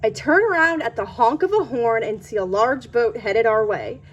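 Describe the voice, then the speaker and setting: A woman's voice: fairly high pitch, moderate pace, dull audio, soft background music. A woman hosting a read-aloud, reading a children's storybook. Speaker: channel host and story reader.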